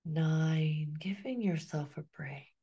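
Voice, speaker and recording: Slow, soothing hypnotist woman. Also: low-pitched, slightly rough, muffled recording. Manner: slow, soothing, drifting into whispers. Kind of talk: guided hypnosis session.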